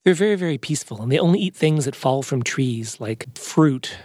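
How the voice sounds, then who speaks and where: A man voicing a sensible, patient female companion in a children's story, matter-of-fact and gently instructing. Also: medium pitch, animated, brisk, no room sound, close to an everyday voice.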